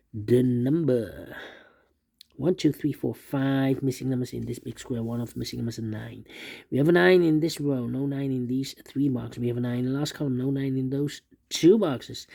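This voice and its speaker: Gravelly-voiced man calmly talking through a puzzle. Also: mid-range voice. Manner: hesitant, many uh fillers, repeats numbers aloud. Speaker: sudoku instructor. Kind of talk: solving a puzzle step by step while narrating.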